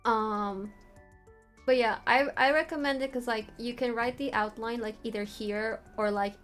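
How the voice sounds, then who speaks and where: A woman's voice: fairly high pitch, steady tone, normal speed, fairly bright tone. Woman giving a casual, meandering livestream tutorial over music. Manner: informal, meandering explanation. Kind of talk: casual livestream walkthrough of a writing tool.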